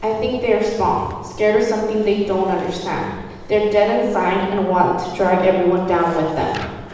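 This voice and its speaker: A woman's voice: medium pitch, moderate pace, darkish tone, lightly acted. A woman playing a timid, anxious housewife finding her nerve in a table read. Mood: hesitant. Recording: very echoey hall, far mic, faint noise.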